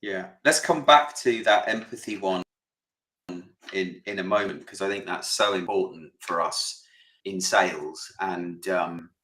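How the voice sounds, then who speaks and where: A man co-hosting a podcast in casual chat, relaxed and chatty with frequent fillers. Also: sits low, measured pace. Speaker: podcast co-host. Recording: roomy echo.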